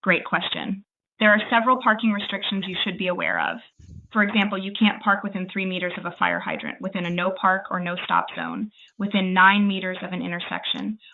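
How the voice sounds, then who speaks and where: Tinny phone-line woman, brisk customer service agent. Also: fairly low pitch. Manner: polite, formal, evenly measured phrasing without hesitation. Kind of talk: scripted customer service answers. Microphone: phone call.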